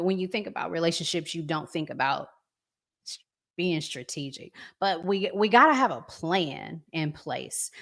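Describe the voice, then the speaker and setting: An American woman hosting a relationship talk show, chatting to viewers and giving advice in an earnest, emphatic, deliberate way with pauses. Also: low voice, gravelly. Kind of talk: live chat to viewers, reading comments, giving advice.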